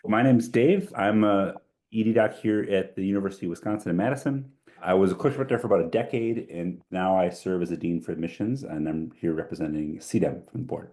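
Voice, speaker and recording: Fast-talking deep-voiced man. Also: mellow. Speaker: emergency doctor and admissions dean. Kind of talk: panel introductions.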